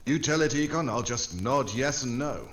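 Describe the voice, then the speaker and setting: A man's voice: medium pitch, lively intonation, normal speed, a bit bright, lightly acted. A man playing a wisecracking, unflappable American secret agent in a 1940s-style radio drama, offhand and cagey. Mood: wry, cagey.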